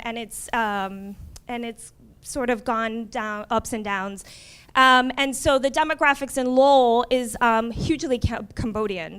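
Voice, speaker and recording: A young woman from Miami presents at a conference in a relaxed, conversational way, dropping wry asides as she goes. Her voice sits high and comes through smooth and clear. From Miami, USA. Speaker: community college librarian.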